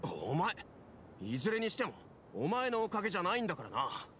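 An actor playing an impulsive, defensive young worker in an anime game, gruff and sputtering. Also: male voice, sits high, huge pitch swings, fast, a bit bright, a little hiss or hum, heavily acted. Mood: indignant, defensive. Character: hot-headed, impulsive young worker brother.

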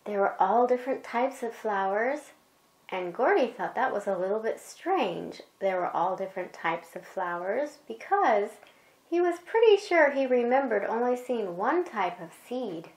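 Slow-paced woman telling a bedtime story. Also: on the high side, very animated, roomy sound. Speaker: storyteller reading to a child.

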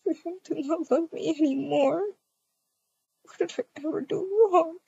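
Heartbroken, betrayed young mother played by a woman: high, crying, creaky voice, amateur game roleplay. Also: a little slow, mellow, a little hiss or hum, heavily acted. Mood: hurt, bewildered. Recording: dull lo-fi sound.

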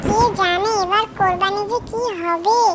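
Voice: extremely high pitch, fairly even intonation, moderate pace, heavy background noise. A cartoon voice actor playing a young boy, an earnest and fretful little brother. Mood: worried. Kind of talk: cartoon voice acting.

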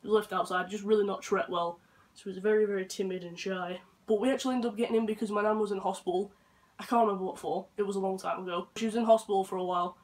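Hoarse young Englishman chatting casually. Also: sits high, steady tone, racing. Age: young adult. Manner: chatty, rambling, talks softly to his dog. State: hoarse from flu and chest infection. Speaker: vlogger. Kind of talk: casual chat. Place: bedroom, indoors.

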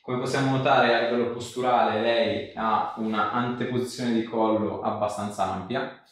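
A man working as a chiropractor, giving short, gentle instructions and explanations to a patient. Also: medium pitch, steady tone, moderate pace. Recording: echoey room, distant mic, background noise.

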